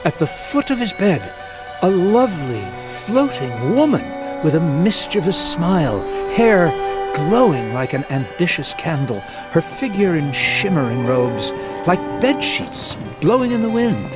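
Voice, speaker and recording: An actor narrating a Christmas ghost tale for a radio play, with vivid, theatrical, measured phrasing. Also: male voice, a little high, big pitch swings. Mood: wondering, vivid. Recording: music under the voice.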